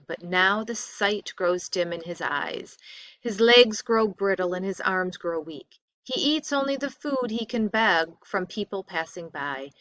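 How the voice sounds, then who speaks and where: A woman reading the part of a respectful chariot driver in a storybook, gravely and emphatically explaining hard truths. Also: medium pitch, fairly bright tone, no echo.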